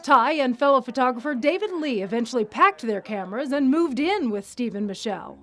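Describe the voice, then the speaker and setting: Polished high-voiced woman news reporter. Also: lively intonation. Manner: polished, measured delivery. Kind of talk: scripted news narration.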